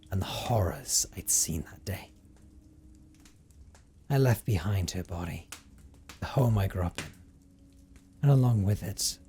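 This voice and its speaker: A man acts out a brooding vampire haunted by guilt, confessing his past in an audio drama. His low voice is measured and formal, often sinking into a breathy hush. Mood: somber, regretful. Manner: measured, hushed, formal storytelling with breathy drops. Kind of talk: dramatic audio roleplay monologue.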